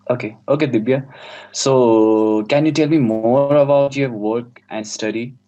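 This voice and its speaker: A man's voice: mid-range voice, measured pace, mellow. A man acting as mock examiner, asking interview questions hesitantly, often restarting them. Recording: dull lo-fi call audio.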